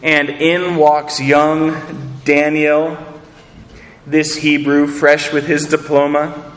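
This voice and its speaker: Earnest pastor preaching in an echoing hall. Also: male voice, a little high, flat delivery, very slow, a bit bright. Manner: earnest, rhetorical questions, repeats words. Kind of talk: sermon.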